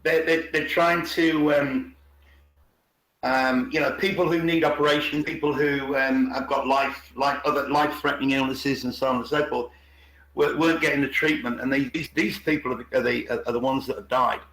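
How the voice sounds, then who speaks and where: A man's voice: mid-range voice, fairly even intonation, normal speed, a bit bright. A man in an unscripted, opinionated discussion on an online call, repeating words and restarting often. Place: indoors on an online group call. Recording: roomy, echoing online call.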